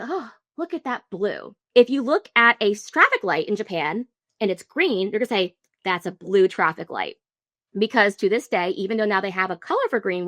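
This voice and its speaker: Gravelly-voiced woman host of reaction commentary. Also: mid-range voice, a bit bright, no echo. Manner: talkative, explanatory, stutters at times.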